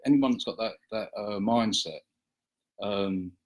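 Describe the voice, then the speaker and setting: Low husky-voiced man, hesitant. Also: a little slow. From England. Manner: hesitant, many fillers and restarts. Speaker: guest, small business owner. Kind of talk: casual interview chat.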